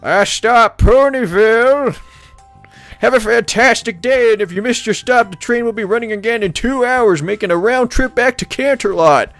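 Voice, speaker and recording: Casting: man as elderly cartoon pony conductor, jolly announcer, high and loud, stretched words, music underneath. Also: normal speed, no room sound. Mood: cheerful announcing. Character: cheerful elderly pony train conductor, broad grin.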